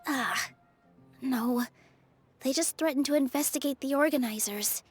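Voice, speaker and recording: Game heroine: young dancer, high voice, sweet, earnest, caring, soft music underneath. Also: female voice, a little slow, slightly rough. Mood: anxious, caring. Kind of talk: video game voice acting.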